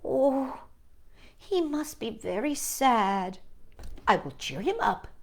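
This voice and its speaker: A woman voicing an excitable, devoted male toad in a children's storybook, exclaiming earnestly. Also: a little high, slow, creaky, exaggerated voice. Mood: concerned. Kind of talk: children's story read-aloud.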